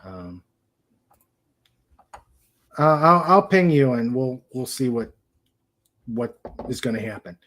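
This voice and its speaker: Man, mid-pitched, slow and halting, casual live show host, feeling for the next word. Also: very animated, fairly bright tone. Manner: halting, searching for words. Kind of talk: casual livestream chat.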